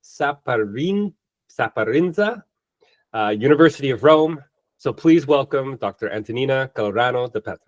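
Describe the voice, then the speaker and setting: A man's voice: mid-range voice, expressive, moderate pace. Male podcast host, thirties, playful punster, casual interview tone. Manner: playful, punning and self-deprecating.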